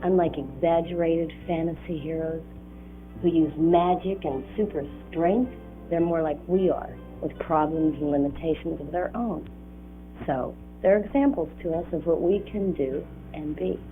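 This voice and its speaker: A woman's voice: sits low, moderate pace, lightly acted. A woman playing an earnest youngster who explains things carefully in an educational film drama. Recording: tinny 1980s 16mm film soundtrack, music.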